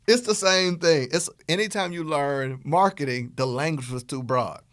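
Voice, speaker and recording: A man's voice: a little high, moderate pace, no echo, studio quality. A man with a Southern US accent, hosting a podcast and casually teaching business strategy with playful acted-out dialogue. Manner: animated, playful, acts out dialogue. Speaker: podcast host, business coach.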